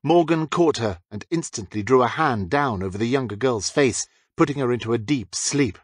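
A man's voice: medium pitch, lively intonation, moderate pace, husky, no echo. A man reading a dramatic scripted narration as an audiobook narrator.

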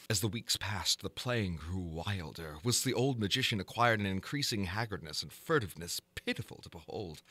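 A man giving a dramatic reading of a gothic horror story in a theatrical, deliberate manner. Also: low-pitched, bright, forceful tone, no echo. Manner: theatrical, deliberate, ornate literary delivery. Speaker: narrator, audiobook reader.

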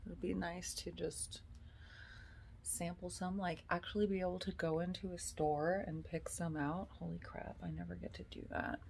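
A woman's voice: on the low side, flat delivery, moderate pace, vocal fry, a little hiss or hum. A woman in her thirties chatting casually to her phone camera from bed, sometimes dropping to a whisper. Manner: chatty, slips into soft whispers. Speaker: vlogger. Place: bedroom, lying on a bed indoors. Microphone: phone camera at arm's length.

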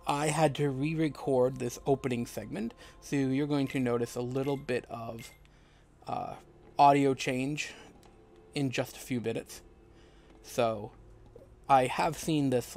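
A man's voice: medium pitch, fairly even intonation, a little slow, vocal fry, darkish tone, slight background noise. A man hosting a let's play, talking casually over a video game as he plays. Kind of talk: casual gameplay commentary.

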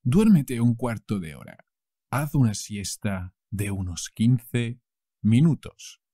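Castilian spanish guy teaching phrases, super slow, says each one over again, mellow husky voice. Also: mid-range voice, no echo, clean studio sound. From Spain. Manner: says each phrase slowly and repeats it with variants. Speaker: language teacher. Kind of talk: language lesson.

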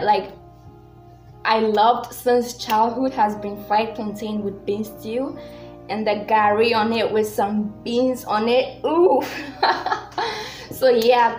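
A woman's voice: a little high, darkish tone. Young woman, Ghanaian accent, easygoing rambling vlogger; roomy webcam sound. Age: young adult. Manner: chatty, giggly, loose and rambling. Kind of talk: casual vlog talk to camera. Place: small room indoors.

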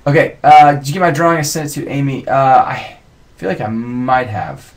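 A man's voice: mid-range voice, normal speed. A young man in his twenties, chatting casually and reading viewer comments aloud on a live webcam stream from a home studio. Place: home music studio, indoors. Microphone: webcam mic.

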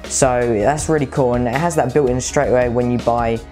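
A young man presents a casual tech review in a chatty way, repeating words for emphasis. His voice sits at a medium pitch, with faint music playing behind him. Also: steady tone, moderate pace, darkish tone. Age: young adult, late teens. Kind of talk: casual scripted-free product review to viewers. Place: small bright room at home. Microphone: clip-on lapel mic.

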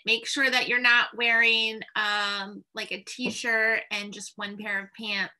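A woman in her thirties teaching a video lesson to young students, speaking in simple, deliberate phrases into a computer microphone indoors. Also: on the high side, bright, forceful tone, low-quality recording. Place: small indoor classroom or home teaching room. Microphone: computer webcam at desk distance.

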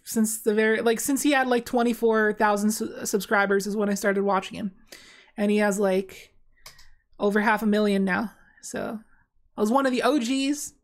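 A woman's voice: medium pitch, fairly even intonation, normal speed. A young woman talking casually while playing a card game on stream, rambling with many fillers and restarts, in a small dim room. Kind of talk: casual gameplay commentary.

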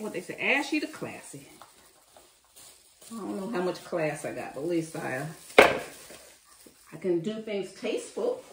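A woman with a mid-pitched voice talks slowly and chattily to her viewers while she preps meals. She sounds set back from the mic in an echoey home kitchen, with a little kitchen clatter behind her. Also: distant mic, noisy background. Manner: homey and chatty, talking to viewers as she works. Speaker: cooking channel host. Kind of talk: casual meal-prep chat while cooking.

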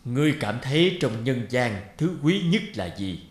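A man voicing a wise old deity in an animated fairy tale, solemn, measured and lecturing, stern yet compassionate. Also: medium pitch, lightly acted. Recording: roomy echo over guitar music.

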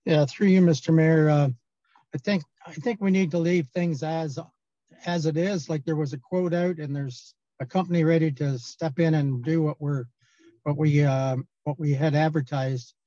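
A man's voice: a little high, steady tone, normal speed, warm tone, no echo, dull audio. A Canadian man speaking as a councillor in a meeting, earnest and insistent, repeating phrases as he argues. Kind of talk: council debate.

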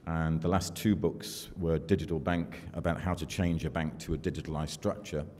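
An older man from the United Kingdom giving a conference keynote at a podium in a large hall, measured and self-deprecating, with fillers between thoughts. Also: very deep voice, fairly even intonation, a little mellow. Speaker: keynote speaker. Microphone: podium microphone. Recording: long hall reverb, faint room noise.

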